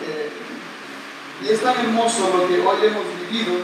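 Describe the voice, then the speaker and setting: High-voiced man preaching in an echoey pavilion. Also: normal speed. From not Puerto Rican. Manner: playful, joking with the congregation, leads call-and-response. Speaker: priest preaching. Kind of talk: sermon at a retreat Mass. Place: large open-air covered pavilion, outdoors. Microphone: camera far back in the congregation. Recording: echoey, distant, noisy outdoor pavilion.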